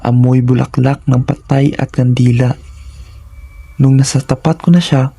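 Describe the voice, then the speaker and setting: Guy telling a scary story, slow and suspenseful, gravelly dark voice, really dry close sound. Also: medium pitch, steady tone, no echo. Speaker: horror story narrator. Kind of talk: scripted first-person horror narration.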